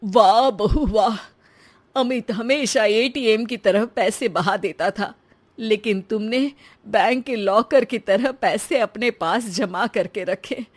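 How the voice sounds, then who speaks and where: A woman playing a mild, approving elderly father in a story, speaking gently and slowly. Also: a little high. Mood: proudly approving.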